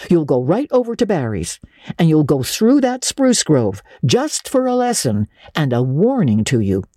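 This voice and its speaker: A woman plays an unyielding older guardian from a classic storybook. Her voice is low, dark and husky, and she speaks in a firm, clipped, unsympathetic way with no patience for nonsense. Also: sing-song, moderate pace, no echo, clean studio sound. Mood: firm, scolding.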